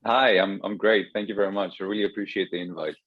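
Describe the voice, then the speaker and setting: Deep-voiced Greek-raised man, muffled call audio. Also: fairly even intonation, quick pace, no echo. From Greece. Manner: hesitant, many restarts and fillers. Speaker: guest, startup co-founder. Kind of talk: remote interview conversation. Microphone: video call.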